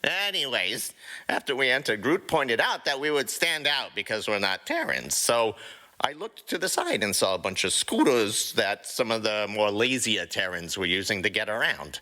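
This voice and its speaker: A man's voice: fairly low pitch, sing-song, moderate pace, bright, forceful tone. A man voicing a cocky, defensive, snickering raccoon troublemaker in a comic fan fiction audio drama. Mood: cocky storytelling. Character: brash, snickering small male raccoon troublemaker.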